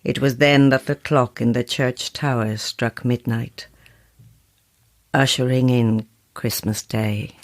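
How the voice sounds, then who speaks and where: An actress playing a proud, sceptical horror novelist in an audio drama, with a wry, self-assured manner. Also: female voice, extremely low pitch, steady tone, slow pace, heavily acted. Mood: eerie storytelling. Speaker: actor.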